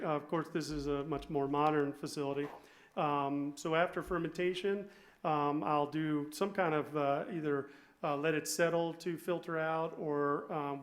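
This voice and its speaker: A man giving an informal, halting presentation as a brewer on a panel. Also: a little high. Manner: hesitant, halting delivery.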